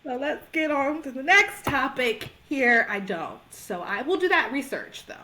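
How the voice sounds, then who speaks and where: Lively, bright-voiced young woman podcaster. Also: sits high, unhurried, slight background noise. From Georgia, US. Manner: animated, laughs often, slangy. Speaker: podcast co-host. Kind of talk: casual chat.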